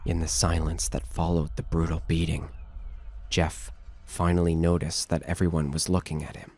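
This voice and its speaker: A man narrating a scripted horror story in a measured, dramatic storytelling manner. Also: rumbling low, level tone, darkish tone. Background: music.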